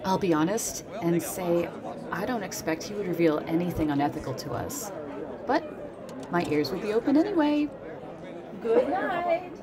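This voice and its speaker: A woman's voice: mid-range voice, very animated, normal speed, close to an everyday voice. A woman playing a friendly, chatty event guest in a conversational audio drama. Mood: friendly, coy. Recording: very loud crowd chatter.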